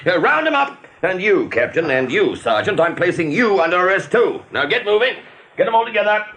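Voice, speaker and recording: An actor playing an officious, bullying rabbit major in a 1980s radio drama, barking out pompous orders. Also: male voice, high-pitched, big pitch swings, fairly quick, fairly bright tone, exaggerated voice. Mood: officious, commanding.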